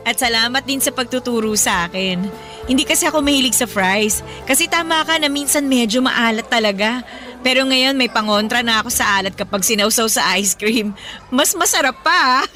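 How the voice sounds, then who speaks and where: A young Filipino woman on a date, with a fairly high voice. She banters playfully and speaks emphatically, as in a radio romance drama. Music plays behind her. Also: animated, normal speed, pushed and bright. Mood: light, friendly. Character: spirited, teasing young office woman on a first date. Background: background music.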